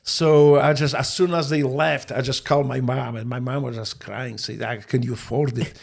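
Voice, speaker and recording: A man from Poland with a Polish accent, a native Polish speaker telling stories as a guest in a casual interview, often halting and repeating words. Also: medium pitch, fairly even intonation, vocal fry.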